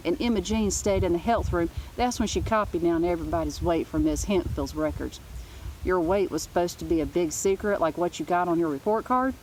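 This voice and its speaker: A woman with a slightly low voice narrates a children's book aloud. Her storytelling is informal, and there is faint noise in the background. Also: fairly quick, darkish tone, rough recording. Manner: informal, with asides.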